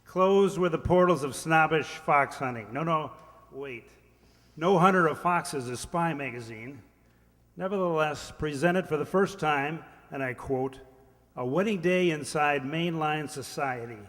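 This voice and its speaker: Man playing a pompous high-pitched magazine boss. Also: measured pace, fairly bright tone. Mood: pompous, commanding. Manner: pompous, declamatory. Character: pompous, bossy male magazine publisher in radio play. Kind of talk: 1940s radio acting. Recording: echoey hall with long reverb.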